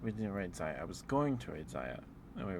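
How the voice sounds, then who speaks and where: A man livestreamer chatting casually in an ASMR stream, rambling in a hesitant, unhurried way. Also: sits low, very animated, creaky, a little hiss or hum.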